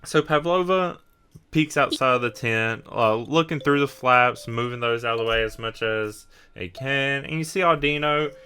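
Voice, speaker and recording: Male tabletop narrator, mid-pitched, smooth and forward tone, guiding players through scenes. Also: moderate pace, sharp tone. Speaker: game master. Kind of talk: narrating a tabletop roleplay game.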